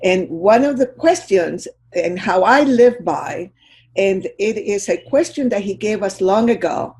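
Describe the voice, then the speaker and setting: A woman's voice: medium pitch, expressive, measured pace, darkish tone. An older woman from Chile, a guest speaker giving a heartfelt, reflective talk on a video call.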